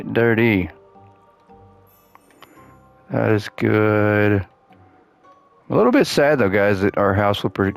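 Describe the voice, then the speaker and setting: A man's voice: fairly low pitch, gravelly. A man hosting a gaming channel, chatting casually while he plays, his voice cracking and worn as he loses it. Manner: chatty, upbeat, thinks aloud while playing. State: losing his voice. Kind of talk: casual gameplay commentary.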